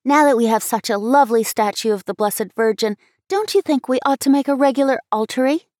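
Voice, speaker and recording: A woman putting on an eager little girl's high voice. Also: brisk, dry recording, studio quality, close to an everyday voice. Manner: excited, pleading, scornful. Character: eager headstrong little girl.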